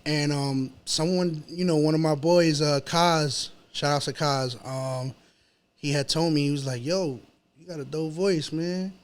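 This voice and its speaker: A man's voice: fairly high pitch, fairly even intonation, a little slow. A man in his early thirties from New York, with a New York accent, chatting casually as a rapper being interviewed, slangy and peppering his talk with "you know". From Chelsea, Manhattan, New York, USA.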